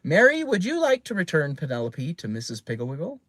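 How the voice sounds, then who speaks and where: A woman's voice: sits low, wildly swooping pitch, a little fast, fairly bright tone, no echo, full character voice. A woman voicing a firm, chiding mother in a storybook, giving instructions to her child.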